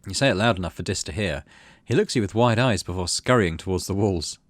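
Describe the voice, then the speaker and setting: A man's voice: sits low, quick pace, dry recording, studio quality. A man hosting a gaming video, casually narrating and commenting as he thinks aloud. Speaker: gaming channel host.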